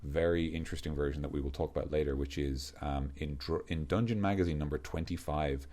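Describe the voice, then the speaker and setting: Very deep-voiced man, relaxed podcast chat. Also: fairly quick. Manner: relaxed, rambling, jokey with filler ums. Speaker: podcast co-host. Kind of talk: casual podcast chat about tabletop monsters.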